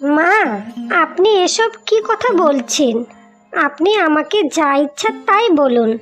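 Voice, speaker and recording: Woman acting a high-voiced, meek daughter-in-law. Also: big pitch swings, slow pace, fairly bright tone, exaggerated voice. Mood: hurt pleading. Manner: polite, pleading, deferential. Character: meek, pleading young daughter-in-law, mocked village wife. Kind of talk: Bengali cartoon moral story acting. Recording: music behind the voice.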